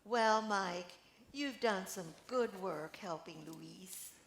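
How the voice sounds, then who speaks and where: An older woman reading the part of a warm, supportive friend in a play, in a deliberate, encouraging way. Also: mid-range voice, vocal fry. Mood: approving. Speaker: amateur actor reading a play. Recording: echoey room with background noise.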